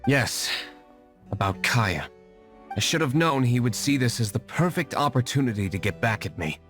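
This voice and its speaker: A reserved young nobleman speaks in a formal, measured way, played by a man, his mid-range voice still moving with expression. Game music sits clearly under his lines. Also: medium pitch, animated, a bit bright, lightly acted. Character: brooding young nobleman winery owner, cool and composed. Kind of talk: video game voice acting. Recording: game audio with music behind.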